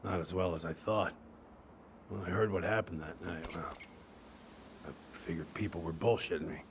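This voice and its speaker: A man's voice: sits low, normal speed, a little hiss or hum. An actor playing a middle-aged small-town handyman in a video game, awkward and well-meaning, with a hesitant, folksy way of talking. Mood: awkward, reflective. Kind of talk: naturalistic video game acting.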